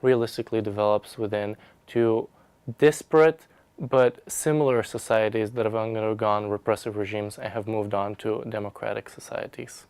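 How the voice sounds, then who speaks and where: Smooth-voiced young man, formal and academic. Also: fairly low pitch, fairly even intonation, normal speed, a little mellow, no echo. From Bulgaria. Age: young adult. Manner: articulate, formal academic wording. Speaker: research scholar, graduate student. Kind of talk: prepared personal testimonial to camera.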